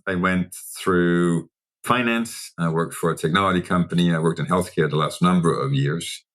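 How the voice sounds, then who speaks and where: A man with a Danish accent, explaining things in a measured interview style as a guest, often posing rhetorical questions. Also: sits low, fairly bright tone. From Denmark. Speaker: interview guest, technology executive.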